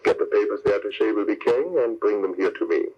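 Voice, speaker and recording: An actor playing a cold-blooded, scheming spy boss in a 1940s radio crime serial, with measured, formal, persuasive talk. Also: male voice, lowish voice, lively intonation, natural voice. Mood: calculating. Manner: smooth-talking, measured, formal. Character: scheming, cold-blooded male spy ringleader offering a deal. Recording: dull, noisy old radio broadcast recording.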